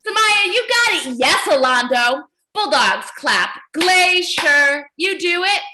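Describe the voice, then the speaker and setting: Female early-years teacher, high and very lively pitch, animated read-aloud style, encouraging. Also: takes it slowly. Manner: animated, encouraging praise and questions; repeats children's answers back. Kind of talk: interactive children's read-aloud lesson.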